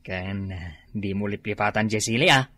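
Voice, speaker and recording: A man's voice: fairly low pitch, moderate pace, bright, forceful tone, no room sound. A man with an Ilocano accent playing a groggy, hungover drinking buddy in a comedy radio drama, whiny and hesitant. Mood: easygoing. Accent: Ilocano, northern Philippines.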